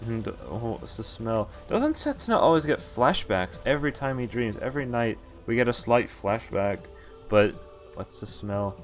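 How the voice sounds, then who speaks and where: A man's voice: mid-range voice, big pitch swings, moderate pace, faint music behind. A man streaming a visual novel, reading the text aloud and breaking off into casual asides. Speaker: game streamer.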